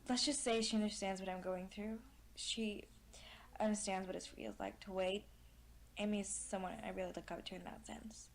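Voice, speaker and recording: A woman's voice: medium pitch, steady tone, moderate pace, close to an everyday voice. A woman playing the wistful, lonely daughter of a time-travelling hero, speaking earnestly and reflectively over some background noise. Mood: guarded.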